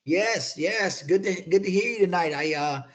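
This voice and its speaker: A man hosting a virtual hiring event over a video call, halting and repeating words, with many fillers. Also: sits high, a bit bright. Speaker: event host and moderator.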